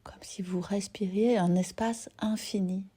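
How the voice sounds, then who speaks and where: A woman teaching yoga nidra, guiding a relaxation gently and unhurriedly in short phrases with soft, breathy endings. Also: medium pitch, fairly even intonation, a little slow. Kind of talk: guided relaxation meditation.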